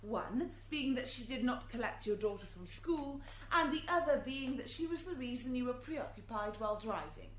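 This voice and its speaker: A woman's voice: fairly high pitch, animated, moderate pace, few pauses, natural voice. A woman playing a formal, relentless devil's advocate questioning in an amateur radio courtroom drama. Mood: coolly probing. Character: crisp, relentless devil's advocate prosecuting souls. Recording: echoey room, faint noise.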